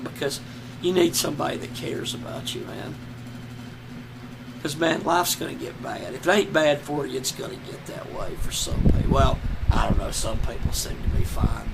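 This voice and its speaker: Hoarse man, rough fry in the voice, slow broken delivery, lying on a floor with heavy AC noise behind. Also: mid-range voice. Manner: slow, halting, despairing, many trailing restarts. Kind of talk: casual personal vlog.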